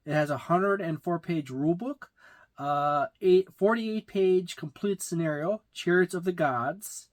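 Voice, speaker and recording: A middle-aged man giving a casual, halting, matter-of-fact unboxing and review, recorded in a small room. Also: high-pitched. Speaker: tabletop game reviewer. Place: small indoor room with packed shelves.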